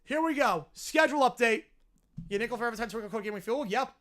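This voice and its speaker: A man hosting a live sports reaction stream, chatting casually and answering viewers, his voice hoarse and worn out. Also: extremely high pitch, fast, fairly bright tone. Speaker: livestream host.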